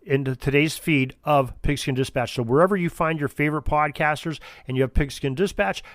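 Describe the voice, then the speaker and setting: A man's voice: a little high, dry recording, studio quality. A man hosting a sports history podcast in a casual, conversational, enthusiastic way. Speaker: podcast host.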